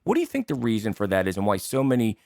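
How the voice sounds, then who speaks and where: A man's voice: on the low side, huge pitch swings, very fast, vocal fry, darkish tone, no echo, studio quality. A man in his sixties hosting a casual interview, rambling through his questions and teasing his guest. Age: 60s. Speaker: talk show host. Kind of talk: casual, joking interview chat.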